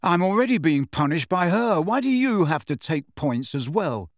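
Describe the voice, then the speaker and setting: A man's voice: medium pitch, sing-song, unhurried, a bit bright, no room sound, studio quality. Man voicing a sullen, angry teenage schoolboy. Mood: resentful. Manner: sullen muttering turning to angry outbursts.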